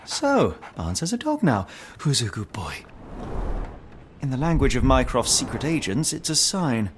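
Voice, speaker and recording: An actor playing a shrewd gentleman detective with formal, precise, probing speech in a scripted game. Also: mid-range voice, very animated, measured pace, noisy background. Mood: musing.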